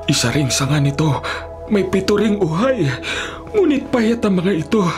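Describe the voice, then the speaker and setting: An actor playing a troubled, commanding Pharaoh of ancient Egypt in a theatrical, imperious radio drama style. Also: male voice, high voice, sing-song, normal speed. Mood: troubled, brooding. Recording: radio drama over clear background music.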